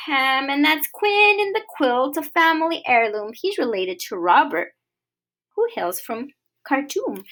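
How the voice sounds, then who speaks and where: A woman playing a kind lonely old lady in a picture book, soft and coaxing, with a bright, high voice that lilts warmly through her chatty lines.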